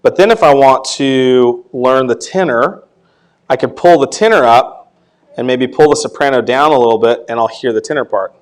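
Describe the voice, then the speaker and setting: A man with a light tenor voice at a middle pitch teaches a church class in a relaxed, unscripted way. He stops and restarts his sentences often and fills the gaps with ums. He sounds like someone thinking aloud while explaining. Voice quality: tenor voice, not deep. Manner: halting, many restarts and fillers. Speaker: Sunday school teacher.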